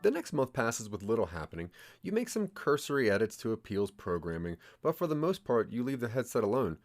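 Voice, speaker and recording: A man's voice: medium pitch, very animated, brisk, soft background music. A man narrating a scripted second-person story as the narrator of an audio drama.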